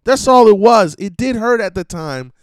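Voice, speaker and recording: Emphatic, slightly hoarse man ranting. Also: high-pitched, animated, normal speed, dry recording, studio quality. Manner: emphatic, preachy, swearing, repeats himself. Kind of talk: casual monologue rant.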